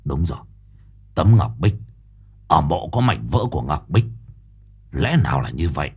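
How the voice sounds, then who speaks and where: A brusque, cursing investigator with a husky, very deep voice that swings widely in pitch, as a man puts him on. He growls his words, short-tempered and demanding in a spoken ghost story. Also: big pitch swings, moderate pace, a little mellow, exaggerated voice. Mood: brooding. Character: gruff middle-aged male investigator, impatient, cursing. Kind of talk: audiobook character voice.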